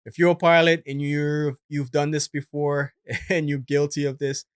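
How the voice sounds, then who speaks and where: A man's voice: a little high, flat delivery, moderate pace, smooth, no echo, clean studio sound. A man giving casual, conversational explanatory commentary as a host, in an informal manner. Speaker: host, former military air traffic controller.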